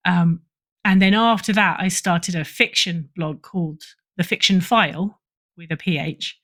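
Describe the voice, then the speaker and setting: A woman's voice: lowish voice, measured pace, fairly bright tone. A middle-aged woman talking casually as an interview guest, chatty and self-deprecating. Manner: self-deprecating, chatty, chuckles through remarks. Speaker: podcast guest, writer and editor.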